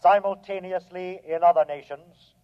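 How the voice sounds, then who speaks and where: A man playing a cold, imperious humanoid robot invader in an old radio drama, speaking in formal, measured, menacing phrases. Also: high voice, steady tone, smooth, natural voice. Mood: calmly commanding. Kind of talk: 1950s radio drama acting. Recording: dull vintage radio recording.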